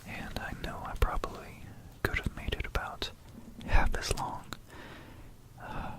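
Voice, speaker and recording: This is a fully whispered voice with no real tone behind it, only breath. The speaker hosts ASMR narration in an informal, rambling way. The delivery is gentle and a little hesitant, suited to bedtime listening. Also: low-quality recording. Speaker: ASMR video creator and host.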